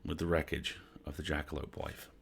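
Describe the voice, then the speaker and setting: A man narrating a bedtime story from a script as an expressive storyteller. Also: extremely low pitch, brisk, vocal fry, slightly rough. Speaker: storyteller, host.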